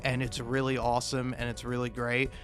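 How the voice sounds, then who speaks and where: A man improvising a self-deprecating comic monologue for a gaming video, rambling and halting, often interrupting himself and swearing casually. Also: medium pitch, monotone, a bit bright, faint music behind. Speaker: video game YouTuber.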